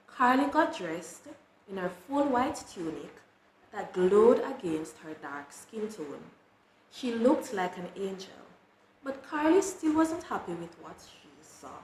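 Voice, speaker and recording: A woman reading children's books aloud in an expressive storytelling style. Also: mid-range voice. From Jamaica. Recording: roomy echo with clear background noise.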